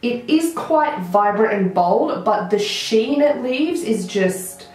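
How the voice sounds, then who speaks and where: A young woman with a croaky voice, chatting enthusiastically through a makeup tutorial to a close camera in a small room. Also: on the high side, expressive, takes it slowly, a little mellow. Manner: chatty, enthusiastic product talk. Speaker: beauty vlogger. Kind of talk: casual makeup tutorial chat. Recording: very echoey room.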